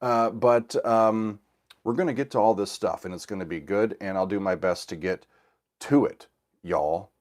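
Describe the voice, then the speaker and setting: A man's voice: on the low side, normal speed. A middle-aged man hosting a casual livestream, a little scratchy from a cold, rambling with fillers and restarts. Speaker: host of a music reaction channel. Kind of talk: casual livestream chat with viewers.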